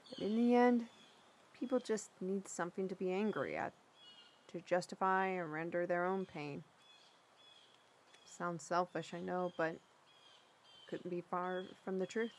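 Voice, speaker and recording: A woman playing a plain-spoken mentor in a fantasy audio drama, telling things in a folksy, measured way with dramatic emphasis. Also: lowish voice, lightly acted. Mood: reflective. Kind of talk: fantasy audio roleplay, storytelling.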